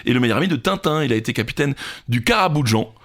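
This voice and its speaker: A man's voice: medium pitch, lively intonation, very fast, fairly bright tone. A man hosting a quiz podcast in a casual, playful way.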